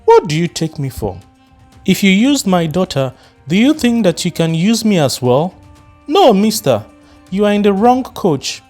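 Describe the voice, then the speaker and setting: A man voicing an indignant middle-aged mother who confronts a stranger with sharp demands. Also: high voice, very animated, measured pace, soft background music, pitched above the performer's own voice. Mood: angry. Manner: confrontational, firing questions.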